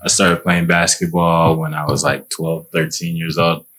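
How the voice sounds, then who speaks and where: Flat, very low man. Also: moderate pace. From Minnesota, United States. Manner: calm, matter-of-fact storytelling. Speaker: guest, pro basketball player. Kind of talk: casual interview answers.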